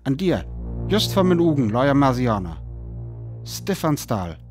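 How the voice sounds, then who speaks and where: Male radio drama narrator: reflective war survivor, North Frisian accent, solemn delivery, mid-pitched, faint music underneath. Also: big pitch swings, unhurried. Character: reflective male survivor writing his account of a Martian war. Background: soft music.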